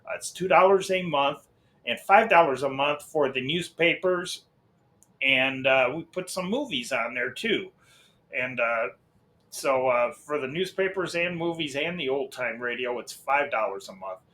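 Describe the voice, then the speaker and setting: A man's voice: medium pitch, animated, measured pace, bright, forceful tone. Middle-aged American man, rambling and casual. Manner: rambling, hesitant, often breaking off and repeating himself. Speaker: host of an old-time radio channel. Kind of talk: casual unscripted channel update.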